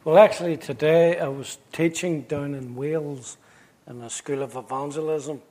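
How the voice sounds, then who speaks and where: A middle-aged man from Northern Ireland with a Belfast accent, a pastor recounting his past in an interview with wry jokes and halting restarts. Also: a little high, fairly even intonation, warm tone. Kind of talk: interview storytelling.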